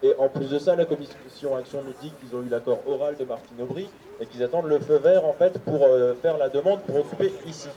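A man's voice: mid-range voice, fast, dark tone, poor audio. A man moderating an open-air assembly, summing up proposals hesitantly with many fillers. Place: outdoor city square. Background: crowd.

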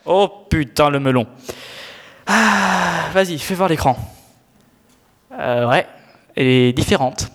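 A man's voice: on the high side, takes it slowly, long pauses, a bit bright. A young man in his teens playing an exasperated, sardonic squire in a student audio drama, grumbling in a slangy way. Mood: sarcastic. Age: teenager.

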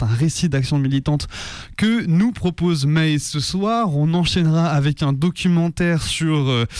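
Higher-voiced man co-hosting radio, dry sound. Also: darkish tone, no room sound. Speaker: radio show co-host. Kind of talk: casual live radio presenting.

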